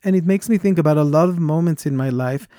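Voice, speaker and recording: A man with a foreign accent co-hosting a podcast, talking in an earnest and reflective way that turns animated when he tells a story. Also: fairly high pitch, quick pace, dry recording, clean studio sound. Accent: foreign accent, origin unstated. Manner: earnest, thoughtful, animated when telling stories. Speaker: podcast co-host. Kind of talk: reflective conversation.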